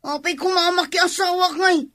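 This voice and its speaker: A woman's voice: very high-pitched, steady tone, normal speed, a bit bright, no echo, studio quality. An actress with a Filipino Ilocano accent playing a feisty, tomboyish young woman in a radio comedy-drama. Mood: indignant.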